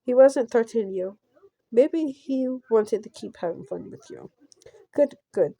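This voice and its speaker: A woman with a fairly high, mellow voice reads a visual novel aloud as she plays. She goes at an unhurried pace, stumbles now and then, and drops in playful casual asides. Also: animated, creaky, no room sound. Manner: playful, halting reading with stumbles and giggly asides. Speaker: gameplay streamer.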